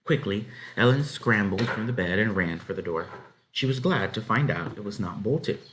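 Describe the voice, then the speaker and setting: A man reading a children's novel aloud as narrator, in an expressive, dramatic way. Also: on the low side, normal speed, slight background noise, poor audio. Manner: dramatic, animated. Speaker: story reader.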